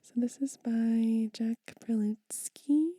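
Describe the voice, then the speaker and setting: A woman whispers slowly and breathily, her mellow voice kept soft and close. She reads verses and chats between them in a hushed ASMR style. The sound is dry, with no room around it. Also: fairly high pitch, steady tone, no echo. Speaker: ASMR reader. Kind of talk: whispered ASMR book reading.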